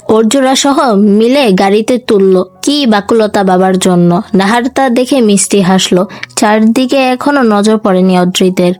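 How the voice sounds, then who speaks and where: A woman's voice: fairly high pitch, darkish tone, dry recording. A woman reading a dramatic novel aloud as a scripted voiceover narrator. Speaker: story narrator. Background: music.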